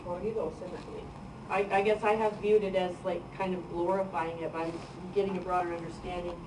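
A woman teacher answers from the floor, pausing as she searches for words. Her lowish voice is caught by a distant room mic, with clear noise around it. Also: level tone, roomy sound. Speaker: audience member, teacher.

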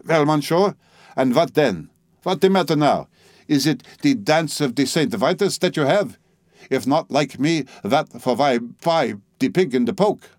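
A man's voice: on the high side, lively intonation, measured pace, a little mellow, no echo, studio quality, voice pushed up. A man voicing an indignant eighty-two-year-old French woman who speaks broken English with a French accent. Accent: put-on French accent.

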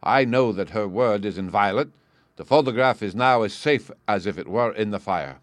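A man voicing a proud, excitable European king who exclaims loudly and with emphasis, in a storybook reading. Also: fairly low pitch, moderate pace, no room sound, close to an everyday voice. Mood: effusive.

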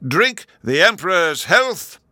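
A man voicing a solemn, pompous Lord Chancellor in a storybook, thundering out slow proclamations. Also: high-pitched, big pitch swings, bright, forceful tone, dry recording, clean studio sound, pitched above the performer's own voice, exaggerated voice.

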